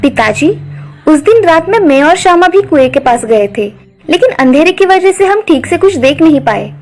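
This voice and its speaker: Actress as village girl, very high pitch, earnest, determined, cartoon dialogue, soft music behind. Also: expressive, moderate pace.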